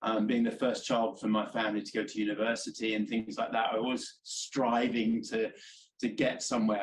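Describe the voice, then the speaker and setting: A man's voice: medium pitch, slight background noise, poor audio. A man speaking as a panel guest, giving personal advice in a reflective, conversational way.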